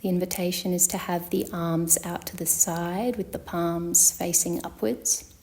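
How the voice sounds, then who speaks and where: A woman leading a guided yoga nidra meditation as a teacher, speaking in a calm, measured, instructional manner with long pauses. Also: fairly low pitch.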